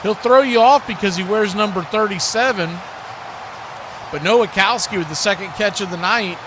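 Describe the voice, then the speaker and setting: A man's voice: high voice, moderate pace, background noise. An American man giving live football play-by-play with casual, folksy, conversational asides on a livestream. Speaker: play-by-play sports commentator.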